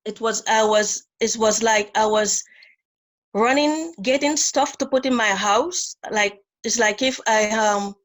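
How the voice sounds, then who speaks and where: A woman from Guyana speaks English with a Dutch-tinged accent, slowly and with frequent "um"s. Her voice sits a bit high. She gropes for words as she asks her question. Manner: hesitant, restarts with "um". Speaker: caller asking a question. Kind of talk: casual question on a call.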